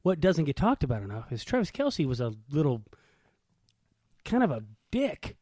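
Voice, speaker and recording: An American man banters about sports in an informal, conversational style. His voice sits a little high for a man and swings up and down freely, with a dry, close sound. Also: sing-song, normal speed, darkish tone, dry recording. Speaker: sports talk co-host. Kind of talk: casual chat, sports banter.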